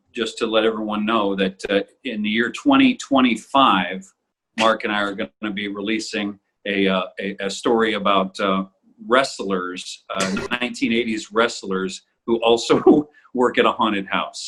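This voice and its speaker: A man with a slightly husky voice, chatting casually as a co-host of an audio drama show. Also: fairly low pitch, steady tone, moderate pace, fairly bright tone, a little hiss or hum, rough recording. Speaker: voice actor and podcast co-host. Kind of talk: casual behind-the-scenes chat.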